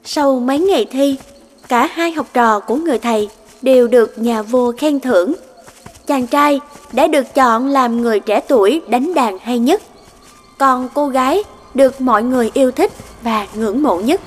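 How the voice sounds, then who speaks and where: A woman delivers scripted fairy tale narration. Her voice sits high in pitch, and soft music plays faintly underneath the storytelling. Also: moderate pace.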